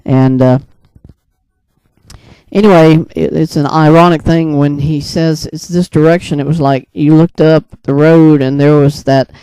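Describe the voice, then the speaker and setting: A man with a mellow, smooth voice, pitched a little high, talks casually without a script. He rambles through his storytelling, hesitating and restarting as he goes. The sound is close and dry. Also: fairly even intonation, no echo, low-quality recording. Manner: rambling, hesitant, halting mid-thought. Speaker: video creator and storyteller. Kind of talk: casual unscripted monologue recounting a dream.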